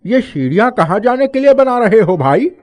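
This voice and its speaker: Man playing high-pitched agitated village man. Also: big pitch swings, normal speed, darkish tone. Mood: curious. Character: agitated male villagers and priest in a folk tale. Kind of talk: animated moral story dubbing.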